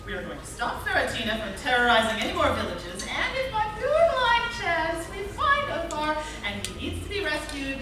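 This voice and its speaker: A brisk young mad inventor heroine played by a woman with a high, animated voice. She is emphatic and confident in radio play style, recorded in a very echoey hall. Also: noisy background. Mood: dry, firm. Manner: emphatic, brisk, matter-of-fact. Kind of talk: comic live radio play acting. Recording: echoey hall, distant microphone.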